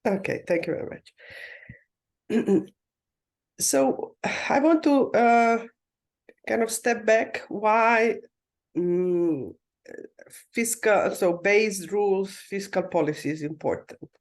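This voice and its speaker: A speaker from Croatia, giving measured conference panel remarks over a video call, with frequent filler sounds and restarts. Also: mid-range voice. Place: remote, not in the conference room.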